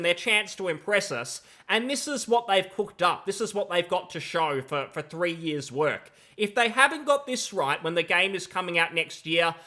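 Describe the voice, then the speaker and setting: Bright-voiced young man, sardonic critic. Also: on the high side. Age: young adult. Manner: sardonic, emphatic. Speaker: video game commentator. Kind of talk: critical commentary. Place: small room at home, indoors. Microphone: close desk microphone with headset.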